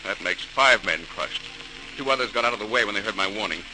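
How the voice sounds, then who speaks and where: An actor playing a determined engineer in 1940s radio style, intense and earnest. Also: male voice, on the low side, fast talker, lightly acted. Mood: distressed, earnest. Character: earnest, determined young male immigrant engineer. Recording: noisy old radio transcription.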